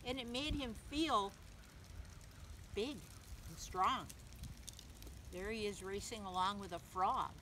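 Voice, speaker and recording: Lady doing storytime for little kids, gentle simple wording, chats with the listener, noisy recording. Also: mid-range voice, slow pace, pauses often. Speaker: children's story reader, teacher. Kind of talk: read-aloud storytime for young children. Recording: clearly noisy background.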